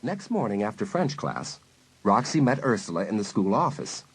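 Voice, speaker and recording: Expressive low-voiced male storyteller. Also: normal speed, slight background noise. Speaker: narrator. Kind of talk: dramatised audiobook narration, scripted.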